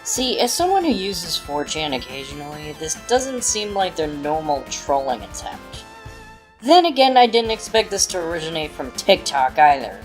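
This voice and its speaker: A woman's voice: sits low, very animated, moderate pace, faint music behind, rough recording. A woman speaking as a guest commentator in a video, giving scripted commentary.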